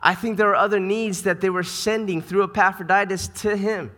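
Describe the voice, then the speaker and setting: Male American preacher, slightly high bright voice, earnest and conversational sermon delivery. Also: fairly even intonation, a little fast. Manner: earnest, conversational, with emphatic repetition. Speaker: pastor. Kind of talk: sermon to a church congregation. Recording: reverberant hall sound.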